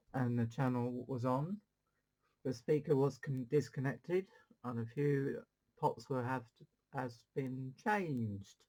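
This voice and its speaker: A man's voice: medium pitch, a little mellow, poor audio. A man hosting a casual CB radio demonstration, rambling along and repeating his phrases. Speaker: CB radio channel host.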